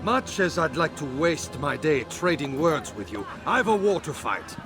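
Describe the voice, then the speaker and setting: A man's voice: a little high, big pitch swings, moderate pace, music in the background. An actor playing a stern, sarcastic crusader king in a video game, with a regal, sardonic manner. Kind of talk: dramatic video game acting.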